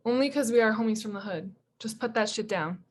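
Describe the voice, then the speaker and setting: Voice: mid-range voice, brisk, lightly acted. An actor playing a battered young hustler in a screenplay table read, scared and defeated, with slangy fatalistic talk. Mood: pleading, resigned.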